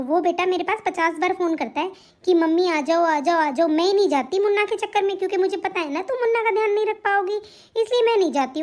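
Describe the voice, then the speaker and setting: A woman's voice: very high voice, darkish tone. A woman voicing a long-suffering elderly mother-in-law in a family cartoon drama, meek and complaining. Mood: weary, aggrieved. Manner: plaintive, complaining, placating.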